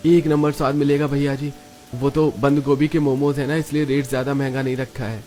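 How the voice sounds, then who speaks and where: A man's voice: medium pitch, flat delivery, brisk, dark tone, natural voice. A man voicing a poor, honest, earnest street food vendor in a cartoon story. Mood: obliging. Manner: earnest, pleading. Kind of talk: Hindi cartoon story dialogue. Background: background music.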